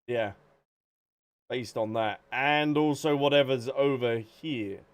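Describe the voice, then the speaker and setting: A man's voice: mid-range voice, takes it slowly. A man casually commentating a game on a livestream in a playful, chatty way, sometimes reading text aloud. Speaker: game streamer. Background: game music.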